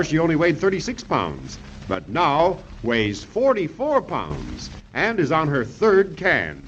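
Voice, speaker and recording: An American man announcing a 1930s children's radio show, pitching emphatically to young listeners. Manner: addresses children, emphatic salesmanship. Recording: muffled, noisy 1930s radio recording.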